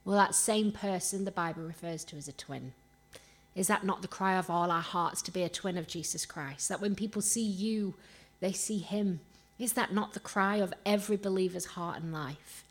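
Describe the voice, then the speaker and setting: A woman's voice: on the low side, normal speed. A woman from Rotherham with a Yorkshire accent preaches an earnest sermon with personal stories, rhetorical questions and emphatic repetition. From Rotherham, Yorkshire, England.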